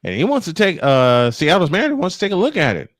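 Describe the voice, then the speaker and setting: A man's voice: on the high side, huge pitch swings, fast talker, dry recording, studio quality. A man working as a play-by-play announcer, giving live baseball commentary mixed with chat.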